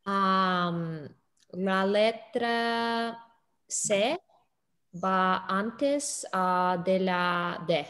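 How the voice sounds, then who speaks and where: A woman's voice: medium pitch, very slow, a bit bright. Hesitant Russian-speaking woman student. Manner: hesitant, searching for words. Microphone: video call.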